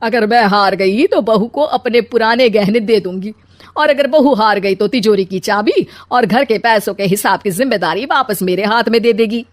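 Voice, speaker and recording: A woman's voice: mid-range voice, normal speed, natural voice. A woman playing a bitter, plotting older mother-in-law in a cartoon story, whining and scolding dramatically. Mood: scornful. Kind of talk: Hindi cartoon story acting.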